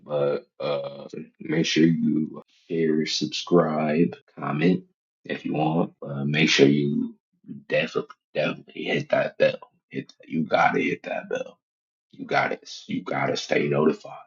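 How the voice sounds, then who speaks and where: A man's voice: extremely low pitch, little pitch movement, measured pace, creaky, lo-fi sound. A twenty-year-old man giving casual, unscripted reaction commentary in a slangy, profane, joking way, often repeating his phrases. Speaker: reaction video host.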